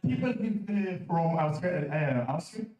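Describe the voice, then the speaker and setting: A man's voice: fairly high pitch, animated, a crowd in the background. A man with an Italian accent, presenting a new mascot to an assembly. He jokes around and stumbles over fillers. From Italy. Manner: joking, halting with many fillers. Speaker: association president presenting a mascot. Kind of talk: stage announcement at an assembly. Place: large conference hall. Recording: echoey hall, distant mic, dull sound.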